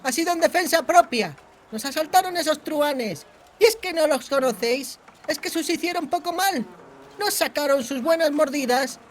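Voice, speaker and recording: A man's voice: extremely high pitch, moderate pace, a little hiss or hum, voice pushed up. A man voicing a brash, streetwise young bandit girl with a defiant, slangy manner in a fantasy audiobook. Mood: defiant, angry. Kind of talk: audiobook character voice.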